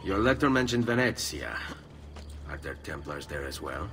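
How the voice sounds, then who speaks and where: An actor playing a grave, vengeful young assassin with an Italian accent in a dramatic video game cutscene. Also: male voice, rumbling low, big pitch swings, moderate pace, a bit bright, full character voice. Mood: determined. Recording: music behind the dialogue.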